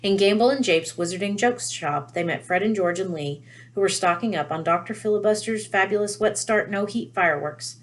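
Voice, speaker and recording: A woman reading a children's novel aloud in an expressive storytelling style. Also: mid-range voice, fairly quick. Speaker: read-aloud reader.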